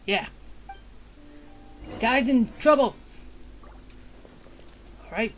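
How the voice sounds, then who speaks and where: A woman streamer comments casually on her playthrough. Her voice is a little high and she takes her time, leaving things slow. Faint game music is behind her. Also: lively intonation.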